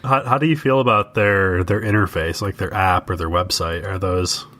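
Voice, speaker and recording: Deep-voiced man in casual discussion. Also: fairly even intonation, moderate pace, fairly bright tone. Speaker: podcast co-host.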